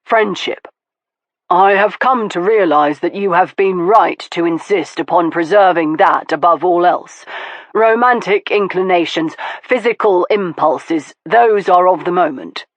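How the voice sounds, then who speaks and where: A woman voicing an earnest, confident young aristocratic man who speaks in a measured, formal way, in an audiobook. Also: on the low side, no room sound. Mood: sincere. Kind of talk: audiobook dialogue.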